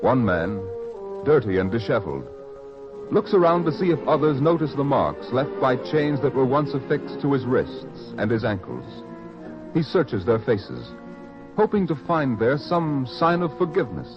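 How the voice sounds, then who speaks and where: A male announcer narrates a radio drama in a grave, theatrical way. His delivery swings expressively and lands each phrase deliberately. Music plays clearly behind him on a dull, vintage-sounding recording. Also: mid-range voice, very animated.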